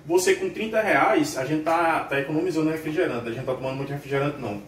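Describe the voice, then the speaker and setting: A man's voice: fairly high pitch, fast talker, recorded from a distance, slight background noise. Casting: young Northeastern Brazilian man, easygoing slangy home cook, recorded from across a small tiled kitchen with room echo. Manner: relaxed, slangy, recommending. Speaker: husband cooking. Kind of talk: casual home cooking chat. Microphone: camera held a few meters away. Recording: echoey kitchen.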